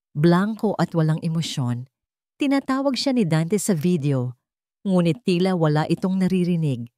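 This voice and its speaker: A female storyteller delivers scripted, dramatic narration. Her voice is slightly low, and the sound is dry with very little room in it. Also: darkish tone, dry recording.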